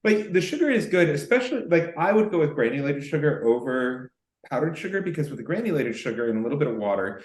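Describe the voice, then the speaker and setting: A man's voice: on the high side, big pitch swings, fast, roomy sound. A man from Arkansas co-hosting a cocktail show over a video call from his home, teasing and explaining with confidence. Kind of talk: casual video call chat. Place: home in Miami.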